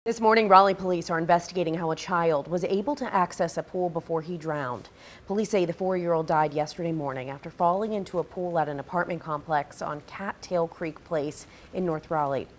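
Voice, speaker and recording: Lower-voiced American woman anchoring the news. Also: a little fast. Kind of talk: scripted local TV news reading.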